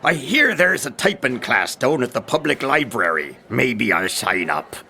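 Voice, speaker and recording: Gravelly, grumbling washed-up pirate. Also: male voice, medium pitch, sing-song, measured pace, bright, forceful tone, slight background noise, exaggerated voice. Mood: glum. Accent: stage pirate. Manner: theatrical griping. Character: grumbling washed-up pirate sailor, nostalgic for plunder.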